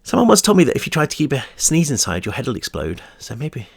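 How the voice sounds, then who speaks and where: A man with a croaky, fading voice reading his own novel aloud in a steady storytelling manner, with chatty asides. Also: medium pitch, quick pace, dry recording, studio quality. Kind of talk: audiobook-style read-through.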